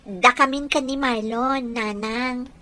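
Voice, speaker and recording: A woman's voice: a little high, fairly even intonation, slow pace, pushed and bright, dry recording. A woman playing a sincere, dutiful young woman newly in love in an Ilocano audio drama. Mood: shyly happy.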